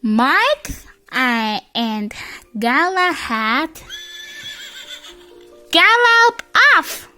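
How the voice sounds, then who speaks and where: Halting, high-pitched storybook reader, childlike voice. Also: very animated, pushed and bright, faint music behind. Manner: halting word by word, with stumbles and repeats. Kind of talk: read-aloud of a children's picture book.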